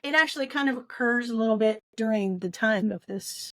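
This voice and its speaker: A woman's voice: a little high, fairly quick, no room sound. Middle-aged woman, casual, repetitive, dry sound. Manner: emphatic repetition of short phrases. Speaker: podcast co-host. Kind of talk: casual book discussion.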